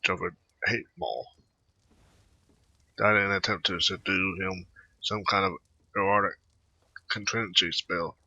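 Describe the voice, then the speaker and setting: A man doing casual game commentary and reading text aloud, haltingly, stumbling over words. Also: deep voice, bright, forceful tone, no echo. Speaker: gamer narrating a playthrough. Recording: slightly dull, lo-fi microphone audio.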